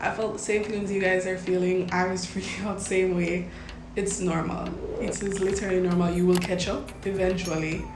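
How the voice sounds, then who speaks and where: A woman's voice: fairly low pitch, flat delivery, fairly quick, background noise. Earnest Jamaican woman, echoey room. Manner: earnest, reassuring, repeats for emphasis. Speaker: medical student vlogger. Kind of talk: advice vlog to first-year students.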